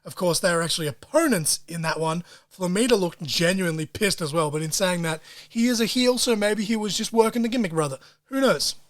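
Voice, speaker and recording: A man hosting a solo podcast, talking in an excitable, profane way full of wrestling-fan slang. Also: high-pitched, a little fast. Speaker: podcast host.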